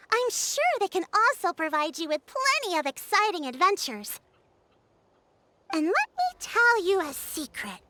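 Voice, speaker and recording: Very high, bright, courteous young woman. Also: a little hiss or hum, full character voice. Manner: polite, formal. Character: courteous earnest female local guide, gently encouraging. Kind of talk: video game voice acting.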